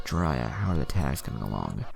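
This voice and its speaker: Man reading as a deep-voiced, grandfatherly old leader. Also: very animated, a little fast, gravelly, a little mellow, soft background music, heavily acted. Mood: impatient. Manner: wry, familiar. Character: kindly old male village leader, grandfatherly.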